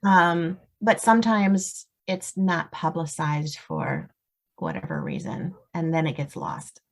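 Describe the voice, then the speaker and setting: A woman's voice: sits low, animated, takes it slowly. A woman speaking as a guest author in a casual interview, explaining things in a storytelling way with examples. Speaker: guest novelist.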